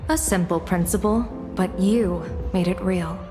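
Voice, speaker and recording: An actress voicing a composed, courteous female general in a video game, speaking in a measured, formal way. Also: fairly low pitch, big-room sound, music in the background, natural voice. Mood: composed, admiring. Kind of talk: game voice acting.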